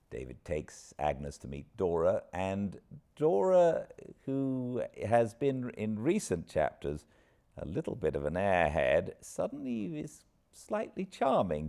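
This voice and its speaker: A British man hosting an informal book recap with an expressive storyteller's delivery. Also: sits low, takes it slowly, creaky. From Britain. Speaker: host, audiobook narrator.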